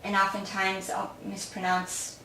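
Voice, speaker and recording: A woman's voice: mid-range voice, monotone, unhurried, vocal fry, slight background noise. A woman with a slight Romanian accent, Romanian her first language, telling her family story as an interview guest with frequent laughter. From born in Australia, raised in Chicago. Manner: warm storytelling, laughs often. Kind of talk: casual interview conversation. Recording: echoey room, mic at a distance.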